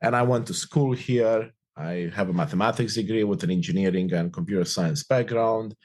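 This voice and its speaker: Upbeat Romanian-born man, low voice. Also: moderate pace, a little mellow. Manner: upbeat, reflective, repeats phrases. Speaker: guest. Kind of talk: casual interview conversation.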